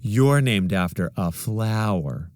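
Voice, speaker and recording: A man's voice: lowish voice, animated, slow, darkish tone, no room sound, studio quality. A man voicing a bossy, know-it-all little schoolgirl in a children's storybook. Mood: smug. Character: snooty, bossy little schoolgirl who teases.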